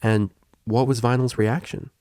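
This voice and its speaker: A man voicing an energetic, kindly male pony tutor, understanding and playful, with an encouraging, informal manner. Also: mid-range voice, measured pace, smooth, no room sound, clean studio sound, natural voice. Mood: calm, gentle. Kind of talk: fan fiction audiobook character reading.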